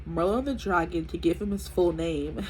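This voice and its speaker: A woman's voice: fairly low pitch, moderate pace, a little mellow, a little hiss or hum, poor audio. Stuffy-nosed young woman reading tarot. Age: 20s. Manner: chatty, rambling, many fillers. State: stuffy nose from allergies. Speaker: tarot reader. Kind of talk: casual tarot reading to camera.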